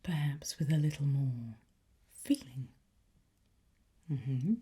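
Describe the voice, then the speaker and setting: A woman whispers in a breathy, airy voice as an ASMR teacher. Her delivery is extremely slow and deliberate, with words stretched out and carefully shaped. The tone is low and soft-edged. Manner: slow, deliberate, drawn-out enunciation. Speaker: ASMR roleplay host as a teacher of demonic Latin incantations.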